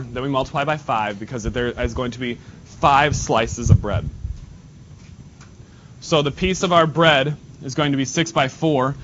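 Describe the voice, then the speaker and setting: A male math teacher with a nasal voice, teaching a class in a casual way and talking to students while he works at the board. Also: a bit bright. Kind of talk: classroom lesson. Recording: dull lo-fi sound, faint rumble.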